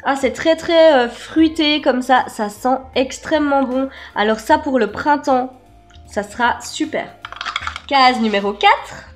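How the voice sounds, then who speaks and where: High-pitched woman, slightly hoarse. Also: unhurried, soft background music. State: slightly hoarse and congested from a cold. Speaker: beauty vlogger, unboxing host. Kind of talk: casual product unboxing chat.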